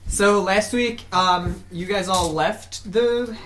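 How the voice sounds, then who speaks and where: Brazilian accent gm, blunt and teasing, middle pitch, bit of faint hiss. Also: slow. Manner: blunt, teasing, swears freely. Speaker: game master. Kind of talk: casual game narration and banter.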